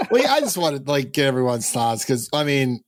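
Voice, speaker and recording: A man's voice: a little high, dry recording. Chill guy hosting a movie podcast, trips over names, dead dry mic. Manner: laid-back, fumbles names, self-deprecating. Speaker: podcast host. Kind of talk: casual film podcast chat.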